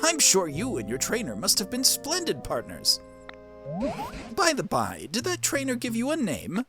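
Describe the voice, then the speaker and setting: Jovial elderly vine-monster professor for a video game, performed by a streamer, genteel, chuckling, highly animated. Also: normal speed, music in the background. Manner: chuckling, quaint, genteel exclamations. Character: jovial old professor made of rustling vines. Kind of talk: cartoonish video game character dub.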